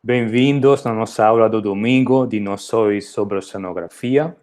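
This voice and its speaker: A man's voice: on the low side, a little slow, a little mellow. A man from Italy with an Italian accent, speaking Portuguese as a non-native speaker while giving a university lecture, explaining steadily with occasional hesitations and restarts. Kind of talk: recorded online lecture.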